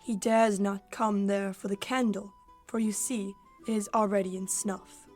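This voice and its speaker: A woman's voice: mid-range voice, little pitch movement, unhurried, a little mellow, faint music behind, close to an everyday voice. A young woman playing a witty courtier in a radio play of Shakespeare, teasing in formal banter.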